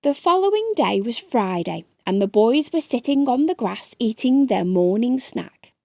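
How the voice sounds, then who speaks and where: A woman reading a children's bedtime story aloud with expressive, careful phrasing. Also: fairly high pitch, normal speed, vocal fry, no echo, clean studio sound.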